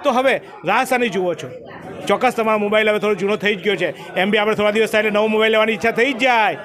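A man's voice: high voice, quick pace, fairly bright tone. A middle-aged man, reporting on a shop opening in a chatty, salesy manner into a handheld mic inside a crowded store. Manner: chatty, salesy, jokes with viewers. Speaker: local news reporter. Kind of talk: on-the-spot promotional report. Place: crowded mobile shop, indoors.

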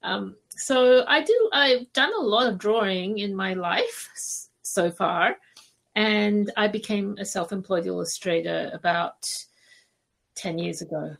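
A woman from Malaysia speaking as a podcast guest, telling stories casually with hesitant, conversational pauses. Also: medium pitch, vocal fry, a bit bright. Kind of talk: casual interview storytelling.

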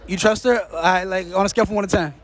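A young man in his twenties holds a phone mic out to strangers on a nighttime boardwalk and banters in loud, slangy jokes. His voice sits very high for a man. A busy crowd murmurs all around him. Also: steady tone, fast. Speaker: street interviewer. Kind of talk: casual street interview.

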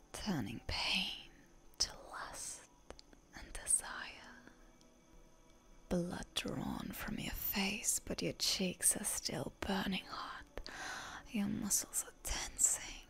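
A woman playing a seductive, predatory female vampire in a whispered roleplay ASMR, teasing and deliberate in a breathy voice. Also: medium pitch, animated, fairly bright tone, full character voice. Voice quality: breathy whisper with heavy creak.

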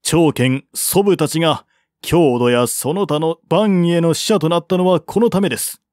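A man puts on a learned male court adviser from a historical tale, pitched noticeably high. He pleads for peace earnestly and formally, in persuasive, unhurried phrases with a dry sound. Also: a little mellow, no room sound, natural voice. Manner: formal, persuasive, higher-voiced. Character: earnest, learned male envoy counselling peace to his prince.

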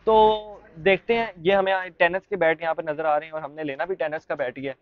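A man's voice: fairly high pitch, fairly even intonation, fairly quick, phone-quality sound. Young man guiding a market tour. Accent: Pakistani Urdu. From Pakistan. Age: young adult. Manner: chatty guide, frequent fillers and restarts. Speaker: vlogger. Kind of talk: walking market tour vlog. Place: busy street market and sports shop.

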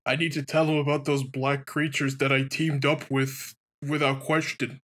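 A man's voice: mid-range voice, level tone, normal speed, a bit bright, soft background music. A man giving casual, joking let's play commentary, often giggly.

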